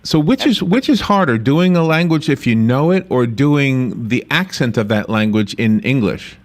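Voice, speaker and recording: A man's voice: medium pitch, expressive, normal speed. Radio host guy, mid voice, easygoing curious questions. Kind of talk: casual interview questions.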